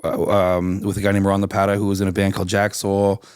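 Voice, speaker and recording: A raspy-voiced man from Canada, chatting loosely as an interview guest with lots of restarts and fillers. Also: sits low, monotone, quick pace, darkish tone, no echo, clean studio sound. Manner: rambling, many restarts and fillers. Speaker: singer-songwriter guest. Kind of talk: casual interview chat.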